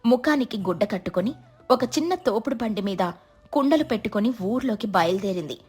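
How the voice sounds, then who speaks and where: A woman's voice: fairly high pitch, lively intonation, fairly quick, a little mellow, husky. A woman storyteller giving scripted, dramatic narration of a village tale.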